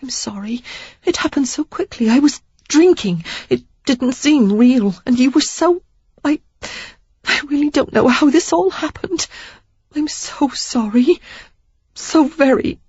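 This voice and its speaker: A woman's voice: sits high, measured pace, a little mellow, dry recording, dull audio, voice pushed up. A woman voicing an anxious young woman who pleads and apologizes in a breathless, babbling way. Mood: apologetic.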